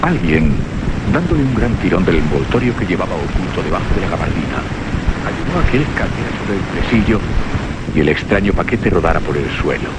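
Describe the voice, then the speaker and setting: Very deep solemn male narrator over loud rumble. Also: big pitch swings, fairly quick. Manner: solemn, dramatic. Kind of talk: scripted radio horror narration. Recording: loud windy rumbling background noise.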